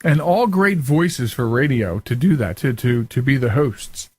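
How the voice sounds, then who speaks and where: A man's voice: medium pitch, lively intonation, a little slow, breathy edge, no room sound. Chatty male radio host, dry close sound. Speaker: radio show and podcast host. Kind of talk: casual conversational radio hosting.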